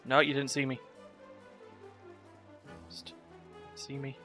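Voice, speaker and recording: A man's voice: medium pitch, monotone, slow, sharp tone. A man casually commenting as he streams a video game, muttering to himself in short fragments. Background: game music.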